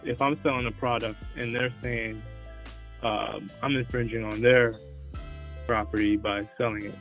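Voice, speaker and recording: A man calling in with questions, hesitant and halting, full of ums and uhs. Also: medium pitch, fairly even intonation, fairly bright tone, soft background music. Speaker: caller. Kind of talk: phone-in questions.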